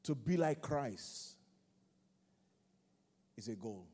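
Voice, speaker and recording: A male preacher delivers his sermon at an unhurried pace, repeating short phrases for emphasis. His voice is mellow and slightly husky, with a long reverb trailing each line through the church hall. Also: medium pitch, lively intonation. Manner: rhythmic repetition, call-and-response phrasing.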